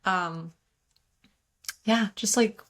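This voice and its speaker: A young woman with an American accent talks in a relaxed, chatty way, piling on fillers as she explains. Her voice is bright and forceful, sitting at an ordinary pitch for a woman. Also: drawn-out words. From United States. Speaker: podcast co-host. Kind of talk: casual chat.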